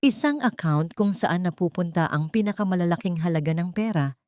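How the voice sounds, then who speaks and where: A woman reading a scripted dramatic story in a measured, solemn, suspenseful way. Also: on the low side, expressive, warm tone, no echo, clean studio sound. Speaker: narrator of audio drama stories.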